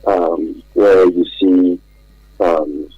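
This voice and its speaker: A man with a Nigerian accent explaining things as an interview guest on radio, speaking haltingly and deliberately, often pausing to find words. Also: low voice, fairly even intonation, no echo, lo-fi sound.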